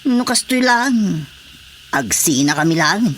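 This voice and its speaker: A man's voice: high-pitched, lively intonation, slow, a bit bright, exaggerated voice. A fed-up, overworked husband in a radio drama. He complains in a plaintive, grumbling way with an Ilocano accent, over faint background noise. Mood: weary, exasperated.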